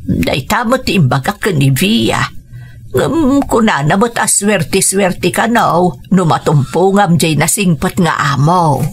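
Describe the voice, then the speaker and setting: A woman playing a gentle, anxious elderly mother who is fond of her daughter, in an Ilocano radio drama. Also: on the low side, expressive, unhurried, creaky. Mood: reassuring. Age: older.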